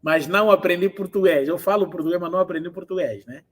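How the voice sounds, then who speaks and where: Male online language tutor, high register, translates and repeats phrases for students. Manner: repeats phrases slowly for learners. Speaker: language teacher.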